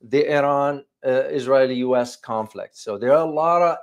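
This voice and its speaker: A man in his fifties hosting informal geopolitical commentary for viewers, speaking haltingly and repeating phrases for emphasis. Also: medium pitch, clean tone. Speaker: host of a geopolitics show. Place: small furnished home room, indoors.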